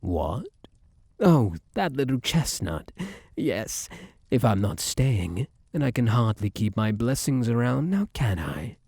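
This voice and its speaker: A man's voice: mid-range voice, very animated, takes it slowly, gravelly, a little mellow, husky, no echo, studio quality, exaggerated voice. A man playing Luck itself as a possessive, smug, suavely menacing suitor in a theatrical ASMR roleplay, coaxing the listener with old-fashioned flowery endearments. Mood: smugly menacing.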